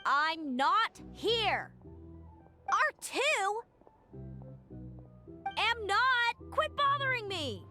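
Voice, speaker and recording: Slow, very high-voiced stubborn girl. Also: sing-song, bright, forceful tone, soft background music, exaggerated voice. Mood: grumpy, evasive. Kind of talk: video game voice acting.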